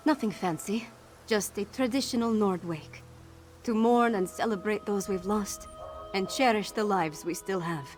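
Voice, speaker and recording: A woman's voice: mid-range voice, close to an everyday voice. Earnest young queen-to-be played by an actress, hopeful and resolute, game dialogue. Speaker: young ruler addressing her people.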